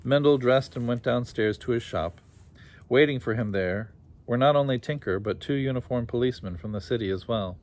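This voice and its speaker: A man reading a children's story aloud as an expressive storyteller. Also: medium pitch, brisk, a little hiss or hum.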